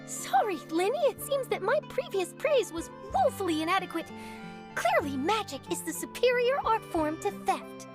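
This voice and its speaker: An eager young female journalist from a video game, with an extremely high voice that bounces up and down. She is wide-eyed and gushing, always inquisitive. Music plays clearly behind her. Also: sing-song, moderate pace. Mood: amazed. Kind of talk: English video game voice acting.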